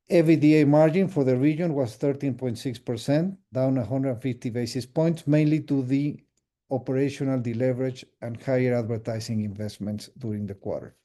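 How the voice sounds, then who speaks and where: A man with a very dark, soft-edged voice delivers a formal, partly scripted financial presentation. He speaks as a company executive, explaining figures in a measured way and leaning on the important points. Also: mid-range voice.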